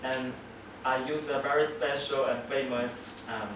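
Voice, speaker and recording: A man's voice: medium pitch, steady tone, measured pace. Hesitant young man. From South China. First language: Chinese. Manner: hesitant, many fillers and restarts. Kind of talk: casual interview and dish presentation. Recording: echoey hall, loud background noise.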